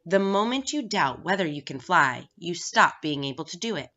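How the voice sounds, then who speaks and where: A woman's voice: lowish voice, lively intonation, moderate pace, pushed and bright. A woman reading a children's story aloud as scripted narration. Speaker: staff reader at a school. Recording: dull, lo-fi recording.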